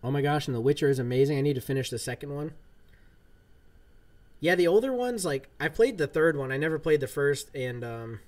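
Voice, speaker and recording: A man in his thirties chatting casually on a livestream from his home gaming room, rambling with lots of fillers. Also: medium pitch. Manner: laid-back, rambling, many fillers. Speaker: livestream gamer. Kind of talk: casual livestream chat while playing.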